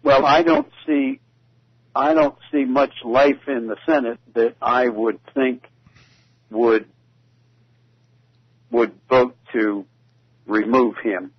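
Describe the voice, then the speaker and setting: Husky man on talk radio. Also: mid-range voice, animated, slow, pauses often, dry recording. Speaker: talk radio guest commentator. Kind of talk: casual political discussion. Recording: dull lo-fi radio audio.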